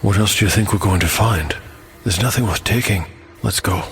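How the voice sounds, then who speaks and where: Blunt, low-voiced rogue played by a man. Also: normal speed, a bit bright, a little hiss or hum. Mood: urgent. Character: brash, pragmatic male thief, cautious but callous. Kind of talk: audio drama character dialogue.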